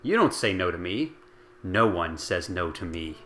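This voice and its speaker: A man voicing a cruel village bully boy who sneers and shouts. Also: deep voice, very animated, a little slow, slight background noise, exaggerated voice. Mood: sneering.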